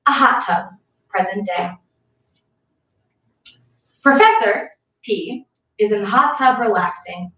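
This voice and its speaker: A woman narrates stage directions from a script, slowly and with a clearly bright, slightly high voice. She sounds set back from the microphone in a reverberant room. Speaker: stage directions reader at a play reading. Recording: echoey room, far from mic.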